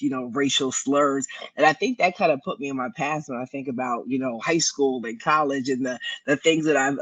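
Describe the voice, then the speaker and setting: A man speaks in a reflective, measured way on an online panel, with frequent fillers. He sits some distance from the mic, and the sound is dry and close-walled. His voice is mid-pitched. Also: fairly bright tone, dry recording. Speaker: panelist, diversity leader.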